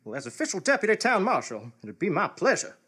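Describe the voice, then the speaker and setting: A man's voice: high-pitched, huge pitch swings, fast, fairly bright tone, husky, full character voice. A man playing an earnest, self-important small-town deputy in a live comic radio play. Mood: resolute.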